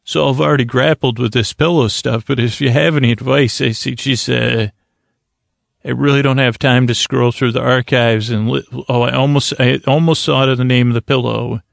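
A man hosting a bedtime podcast, rambling in a halting, stammering, whimsical way that trails off mid-phrase. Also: medium pitch, steady tone, creaky, dry recording, clean studio sound. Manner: whimsical, mock-earnest. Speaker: podcast host. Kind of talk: rambling, improvised bedtime monologue to help listeners sleep.

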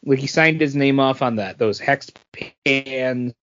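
A man's voice: medium pitch, unhurried, a bit bright, dull audio. A man in his forties chatting casually as a podcast co-host, rambling along and often repeating his phrases. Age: 40s. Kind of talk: casual chat, beer review.

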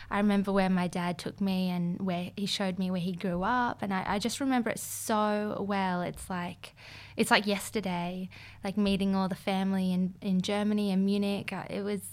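A woman's voice: medium pitch, smooth. A young woman, a podcast guest chatting casually, full of fillers and easy laughs. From Australia. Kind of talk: casual chat.

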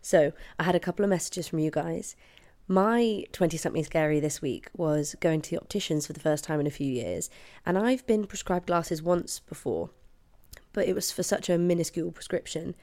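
A woman in her twenties hosts her podcast from her flat late at night, her voice hoarse from a cold. She talks in a chatty, apologetic way, and her lowish voice has a gravelly edge to it. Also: normal speed. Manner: chatty and apologetic, with frequent laughs. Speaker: podcast host. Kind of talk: casual solo chat. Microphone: headphones and podcast mic.

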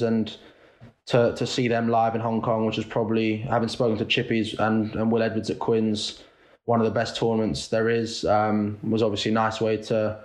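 Young man chatting in a roomy space. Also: mid-range voice. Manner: relaxed, many restarts and fillers. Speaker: guest rugby player. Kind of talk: casual podcast chat. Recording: roomy echo.

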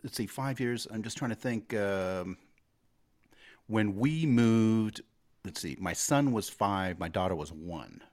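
A man's voice: on the low side, slow pace, a little mellow. A middle-aged man hosting a casual interview, friendly and teasing, laughing often. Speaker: host.

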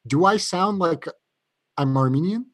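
A man's voice: a little high, expressive, measured pace. A man in casual chat, curious and interjecting with questions.

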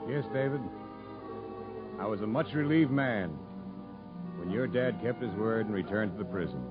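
Man playing a deep-voiced, gruff prison captain. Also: expressive, moderate pace, natural voice. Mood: wry, fair. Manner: brusque, dry, commanding. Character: gruff, fair-minded male prison captain, wryly authoritative. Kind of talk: 1950s radio drama acting. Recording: muffled 1950s radio broadcast with music.

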